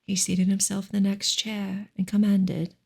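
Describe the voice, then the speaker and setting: A woman softly reading a novel aloud in ASMR style, breathy and hushed, often slipping into a whisper. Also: medium pitch, little pitch movement, a little slow. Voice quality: breathy, soft-spoken. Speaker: ASMR reader. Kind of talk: soft ASMR audiobook reading, partly whispered.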